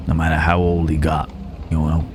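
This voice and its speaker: A man playing a gallant yet menacing Wild West cowboy in an intimate ASMR roleplay, unhurried, with pauses and folksy old-fashioned phrasing. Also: a bass voice, monotone, over music. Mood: sombre, nostalgic. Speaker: voice actor in an audio roleplay.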